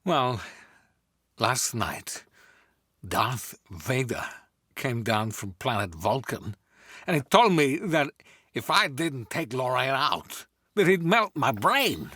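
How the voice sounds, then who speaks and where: Halting, awkward oddball, slow and animated. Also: male voice, mid-range voice, husky, no echo, clean studio sound, exaggerated voice. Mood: earnest, awkward. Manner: halting, odd pauses and stresses. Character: awkward nervous male oddball, halting, eccentric, earnest. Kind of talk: celebrity impression in a comic table read.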